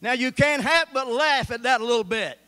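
Older pastor preaching into a handheld mic, really high smooth voice, church stage. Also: male voice, normal speed. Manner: preaching with Bible readings, animated gestures. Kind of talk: sermon. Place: church stage with band gear, indoors.

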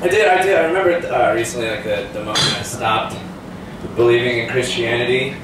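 A man's voice: medium pitch, a little fast. A man in his twenties doing live stand-up comedy at an open mic, ranting in a profane, incredulous way. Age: 20s. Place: small cafe comedy night, indoors. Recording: distant mic, echoey noisy live room.

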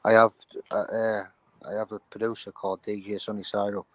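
Flat-voiced young man from the UK. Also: lowish voice, a little fast, no room sound. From United Kingdom. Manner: flat, mumbled, lots of "you know what I'm saying". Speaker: rapper guest. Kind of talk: casual interview answers.

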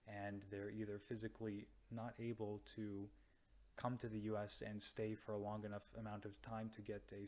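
A young man from North America, a radiology resident, explaining slides slowly with many pauses and restarts. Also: lowish voice. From United States or Canada. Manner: slow, hesitant, many ums and restarts. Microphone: voice-over to slides.

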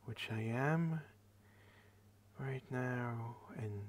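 A tired young man hosting a late-night ASMR video, rambling in a soft half-whisper into a headset microphone at his computer desk. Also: medium pitch, very slow, slight background noise. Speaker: channel host.

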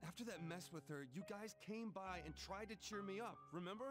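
Man acting a frantic young guy, high-pitched voice. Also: a little fast, natural voice. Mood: anxious, defensive. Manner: agitated, stammering, profane. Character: panicked, frayed young man losing his grip. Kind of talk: English video game voice acting. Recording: game cutscene with music behind.

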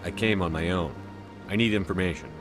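A man's voice: sits low, a little fast, music in the background, close to an everyday voice. A man playing a terse military commander in a video game, blunt and skeptical in the way he talks. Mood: firm. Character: terse, no-nonsense male military commander hero. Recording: faint ambient noise behind.

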